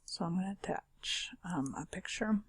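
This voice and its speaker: A woman with a scratchy, husky voice giving a casual, chatty walkthrough of a software tool on her screen, with fillers and self-corrections. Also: lowish voice, normal speed, dry recording. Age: adult. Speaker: screen-share software demonstrator.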